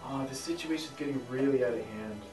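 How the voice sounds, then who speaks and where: Young man as anxious loyal friend, questioning, far off mic, echoey room, loud music. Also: mid-range voice, brisk, close to an everyday voice. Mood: worried. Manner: worried, questioning.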